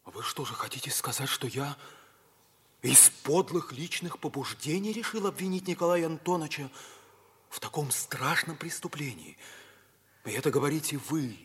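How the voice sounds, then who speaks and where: An actor playing an earnest, proud and intense youth in an old radio stage drama, with a deliberate, solemn, declamatory delivery. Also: male voice, a little high, lively intonation, a bit bright, breathy edge, exaggerated voice. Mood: indignant. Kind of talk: mid-century Soviet radio stage drama. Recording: old radio play recording.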